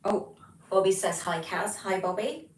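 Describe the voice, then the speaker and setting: A young British woman hosting a livestream from her flat, reading out chat messages and rambling casually with lots of ums. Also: medium pitch, unhurried. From Britain. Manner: chatty, rambling, many ums. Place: home, flat. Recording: echoey room, distant mic, faint noise.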